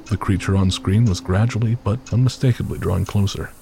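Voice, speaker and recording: A man's voice: on the low side, a little fast, gravelly, background noise. A man narrating a scripted literary horror story for an audio drama. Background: faint music.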